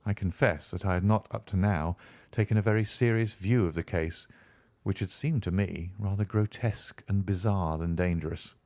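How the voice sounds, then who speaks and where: A man reading literary narration for an audiobook in a measured, formal manner. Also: deep voice, darkish tone, dry recording.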